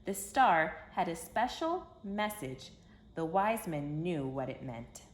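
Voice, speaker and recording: Low-voiced woman reading to children, roomy echo. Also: slow. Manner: expressive, emphatic, simple phrasing for children. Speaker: children's story reader. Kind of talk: read-aloud storytelling for young children. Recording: roomy echo with background hiss.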